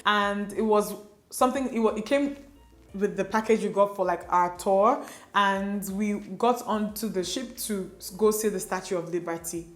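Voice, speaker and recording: A woman's voice: mid-range voice, echoey room. A young Nigerian woman whose first language is Igbo, chatting casually to camera with lots of fillers. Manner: chatty, filler-heavy, confiding. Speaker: beauty vlogger.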